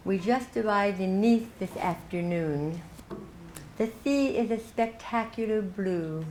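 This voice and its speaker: An older woman gives a reflective keynote talk, telling her story with light laughter. Her voice sits at a middle pitch and carries the echo of a meeting hall. A faint hum of noise runs underneath. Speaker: keynote speaker. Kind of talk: speech to a club meeting.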